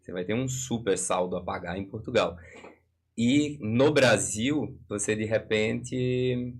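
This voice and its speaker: A man with a Brazilian accent and a fairly low voice. He speaks as an expert in a live discussion, explaining step by step with hypothetical examples. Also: unhurried, slightly rough. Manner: didactic, works through hypothetical examples.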